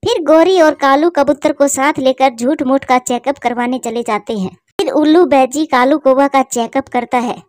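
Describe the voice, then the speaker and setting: High-voiced woman narrating a cartoon. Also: normal speed, darkish tone, dry recording, clean studio sound. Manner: addresses viewers as dear friends, moralising.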